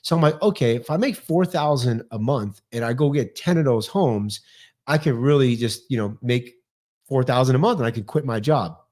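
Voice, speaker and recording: Man, new york accent, fifties, high-energy and articulate, medium pitch, podcast guest. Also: lively intonation, moderate pace, darkish tone. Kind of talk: casual interview chat.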